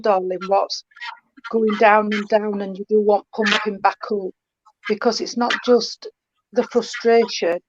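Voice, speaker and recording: Affectionate woman medium, lo-fi. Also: medium pitch. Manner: affectionate, calls the sitter darling and sweetheart. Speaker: spiritualist medium. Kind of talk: live mediumship reading. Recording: dull lo-fi stream with faint noise.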